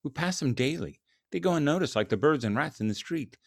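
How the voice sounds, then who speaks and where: A man's voice: fairly high pitch, expressive, brisk, creaky, no room sound, clean studio sound, pitched above the performer's own voice. A man voicing a brooding, guilt-ridden Victorian writer in an impassioned, lamenting way for a story reading. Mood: grieved, impassioned.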